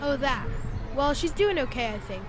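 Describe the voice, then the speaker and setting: A woman's voice: sits high, big pitch swings, moderate pace, a crowd in the background, noisy background. A woman playing an earnest, polite young schoolboy in an audio drama, speaking hesitantly.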